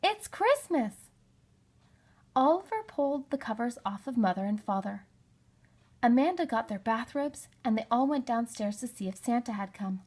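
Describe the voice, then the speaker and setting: A woman narrating a children's storybook in an expressive read-aloud style. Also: on the high side, moderate pace, breathy edge. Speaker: storybook reader.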